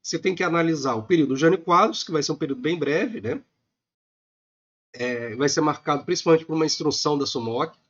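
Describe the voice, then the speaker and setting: A man with a Brazilian accent gives a recorded online economics lecture, a little hoarse from a cold, talking informally with many filler sounds. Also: fairly high pitch, expressive, fast, a bit bright, dull audio. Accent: Brazilian Portuguese.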